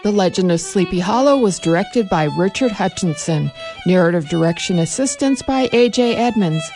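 A woman announcer with a low, mellow voice reads the closing credits in a brisk, even way. Faint fiddle music plays behind her.

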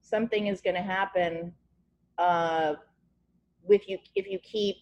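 A woman's voice: medium pitch, big pitch swings, measured pace, creaky, darkish tone, dull audio. A woman hosting a podcast over a video call, talking casually in a rambling, encouraging way and often searching for words. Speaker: podcast host.

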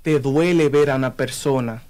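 A man's voice: medium pitch, moderate pace, darkish tone. A man speaking with passion and emphasis about his faith in a conversation. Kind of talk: earnest conversational preaching.

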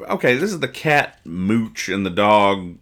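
A man hosting a podcast, giving casual comic commentary with mock-serious, exasperated deadpan nitpicking. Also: lowish voice, measured pace. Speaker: podcast host. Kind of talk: comedic casual commentary reading comic strips aloud.

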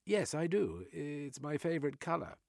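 A man voicing a prim, nervous British gentleman with a British accent who speaks formally. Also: a little high, big pitch swings, moderate pace, creaky, no echo, clean studio sound, pitched above the performer's own voice. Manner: formal, flustered, reserved.

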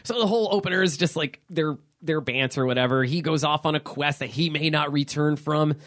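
A man's voice: on the high side, a little fast, fairly bright tone. Rambling podcast guy recapping a movie. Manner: loose, rambling, frequent fillers and laughs. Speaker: podcast co-host. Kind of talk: casual movie recap chat.

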